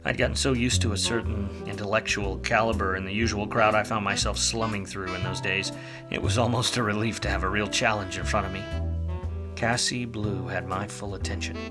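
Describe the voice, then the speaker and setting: An actor playing a hard-drinking, wisecracking, jaded 1940s private detective in a film noir radio play, with sardonic, slangy delivery. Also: male voice, lowish voice, steady tone, brisk, music in the background, close to an everyday voice. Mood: wry, brooding narration.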